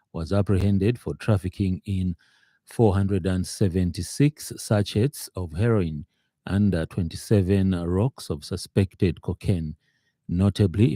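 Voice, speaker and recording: A man with a Zambian accent reading a scripted radio news bulletin in a formal, somewhat halting way. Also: deep voice, warm tone, no echo, clean studio sound. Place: radio studio.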